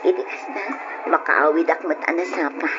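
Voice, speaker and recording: A woman's voice: sits low, moderate pace, natural voice. A woman playing a proud, hardworking market vendor and wife in her thirties, earnest and emotional in an old-style radio drama. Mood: weary relief. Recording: muffled radio recording, faint noise.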